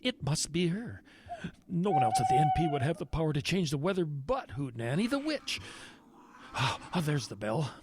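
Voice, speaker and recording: A man playing a scheming, excitable travel agent villain in a radio play, theatrical, flustered and pushy. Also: a little high, moderate pace, heavy background noise. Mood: nervous. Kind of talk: radio-play character acting.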